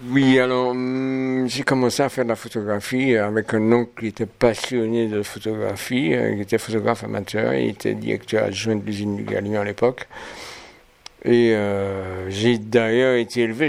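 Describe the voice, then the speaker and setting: A man's voice: lowish voice, vocal fry, a little mellow. A man in his seventies from Martinique, a photographer answering interview questions with many hesitations and restarts. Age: 70s. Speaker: photographer guest.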